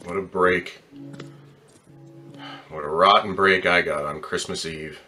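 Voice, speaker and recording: A man acts out a weary, heartbroken ambulance driver in a radio play. His voice sits on the low side with a tense, bright edge. He speaks plainly and haltingly, stumbling over and repeating his words. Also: steady tone, soft background music, exaggerated voice. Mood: wistful, bitter. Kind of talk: radio drama acting.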